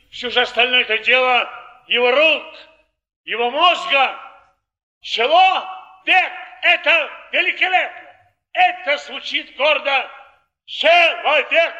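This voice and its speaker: An actor playing a tipsy, proud ex-convict cardsharp who philosophizes fervently in a declamatory Russian stage style. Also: male voice, very high-pitched, bright, forceful tone, highs cut off, heavily acted. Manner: declamatory, emphatic, with long weighty pauses. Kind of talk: 1950s Russian theatrical stage acting.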